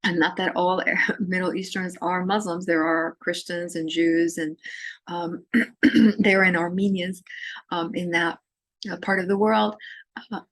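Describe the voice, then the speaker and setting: A woman from Syria giving a recorded course lecture, talking in a halting way with many fillers and restarts. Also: on the low side, fairly even intonation, fairly bright tone, no echo. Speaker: literature lecturer.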